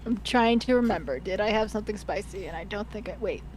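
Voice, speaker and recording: A woman's voice: medium pitch, quick pace, darkish tone, rough recording. A woman in her twenties chatting casually with friends on a stream. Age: 20s. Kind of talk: casual chat. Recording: faint background noise and hum.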